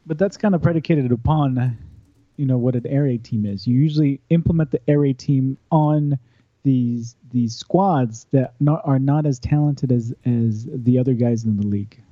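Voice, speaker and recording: A man from Austin, Texas, argues a football point in casual chat, halting and repeating words as he goes. His audio is dull and lo-fi with no room sound at all. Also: mid-range voice, mellow, dry recording.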